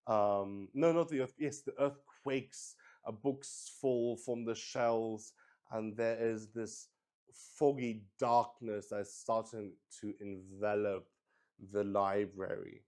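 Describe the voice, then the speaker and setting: Slow, halting man running a solo roleplay. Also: on the low side, fairly even intonation, darkish tone. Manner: halting, thinking aloud, repeats phrases. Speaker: game master and solo player, show host. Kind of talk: improvised tabletop roleplay narration on a stream.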